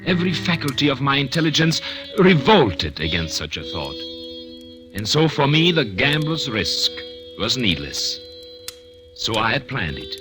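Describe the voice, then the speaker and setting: An actor playing a smug, cold male murderer who narrates his own trial with calm superiority, in a 1940s radio drama. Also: mid-range voice, a little slow. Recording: old radio recording with music.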